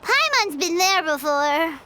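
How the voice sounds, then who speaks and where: Squeaky-high tiny fairy sidekick, excitable, exclamatory, swooping intonation, unhurried, game dialogue over music. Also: female voice, noisy background, full character voice. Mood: sympathetic. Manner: refers to self in third person, exclamatory. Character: tiny excitable childlike fairy companion, female, always hungry. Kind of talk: animated game dialogue acting.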